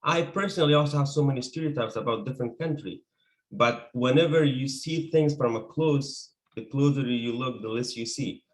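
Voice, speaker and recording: A man's voice: medium pitch, moderate pace, rough recording. A man from Afghanistan, a native Persian speaker with an Afghan accent, giving an earnest personal account as an interview guest.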